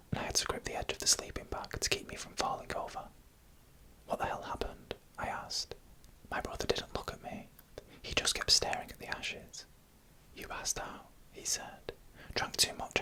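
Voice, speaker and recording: A narrator telling eerie stories in a breathy, unvoiced ASMR whisper, close to the microphone, with slow suspenseful phrasing. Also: sharp tone, rough recording.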